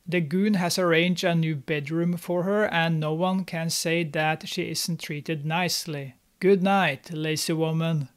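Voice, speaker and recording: Higher-voiced man narrating a snarky movie recap. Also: steady tone, a little slow, hardly any pauses. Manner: snarky, mocking commentary. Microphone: studio mic, on camera.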